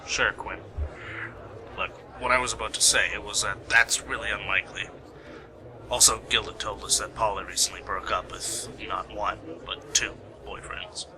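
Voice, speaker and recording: Male actor as police colleague in a radio play: lowish, matter-of-fact, a touch teasing, noisy home recording. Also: fairly even intonation, normal speed, natural voice. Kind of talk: amateur radio play acting.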